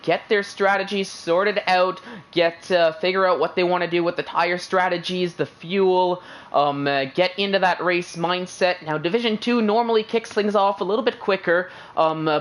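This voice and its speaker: A man commentating an online racing league from a commentator's booth, chatty and upbeat, often pausing on "uh" and "um". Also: on the high side, a bit bright. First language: English. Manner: chatty, filler-heavy, upbeat. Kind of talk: live race commentary.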